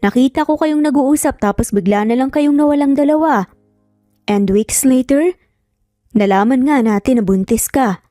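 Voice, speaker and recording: A woman's voice: high-pitched, moderate pace, warm tone, no echo, studio quality, faint music behind, natural voice. Woman as a breezy, casual Filipino guy. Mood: knowing, frank. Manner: casual, mixes in English. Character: breezy, curious male cousin and best friend.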